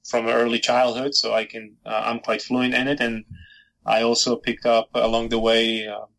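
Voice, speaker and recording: A middle-aged historian from Georgia who grew up speaking Georgian, answering interview questions thoughtfully with many fillers and restarts. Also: male voice, mid-range voice, completely flat delivery, moderate pace, vocal fry, fairly bright tone, low-quality recording. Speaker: historian and author.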